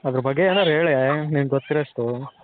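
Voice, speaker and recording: A man's voice: mid-range voice, fairly quick. A young man with a Karnataka accent chatting informally and teasingly with his girlfriend on a private phone call. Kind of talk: casual private phone chat. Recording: tinny phone line with background noise.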